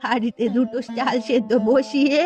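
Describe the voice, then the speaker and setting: নারীকণ্ঠে উঁচু গলার স্নেহময়ী গ্রাম্য বুড়ি পিসি. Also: female voice, sits high, steady tone, a little fast, darkish tone, faint music behind, natural voice. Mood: affectionate, homely. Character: elderly poor village aunt, affectionate but scolding. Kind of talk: cartoon story dialogue.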